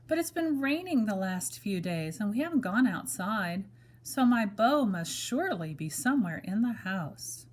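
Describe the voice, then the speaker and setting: A woman's voice: mid-range voice, very animated, normal speed. A woman voicing a worried, earnest little male toy bunny in a children's storybook. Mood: thoughtful. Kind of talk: children's storybook read-aloud.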